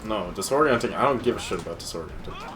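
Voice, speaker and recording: Deep-voiced sweary gamer guy over game noise. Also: lively intonation, fast. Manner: heavy swearing, sarcastic joking. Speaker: let's play co-host, gamer. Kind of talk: casual gameplay commentary. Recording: clear game noise behind voice.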